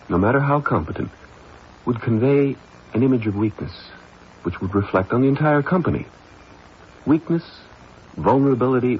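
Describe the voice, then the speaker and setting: An actor playing an evasive, haughty company heir in a halting manner, in an old-time radio drama style. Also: male voice, fairly low pitch. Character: evasive, smug young company heir, accusing his stepmother. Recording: muffled old radio recording, faint noise.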